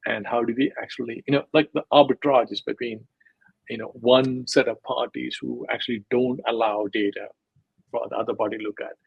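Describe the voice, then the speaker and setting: A man's voice: medium pitch, fairly even intonation, fairly quick, gravelly, husky, no echo, narrow, tinny audio. A man speaking as an interview guest, talking in a digressive, emphatic way with many fillers. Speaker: guest, crypto scientist. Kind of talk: casual interview talk.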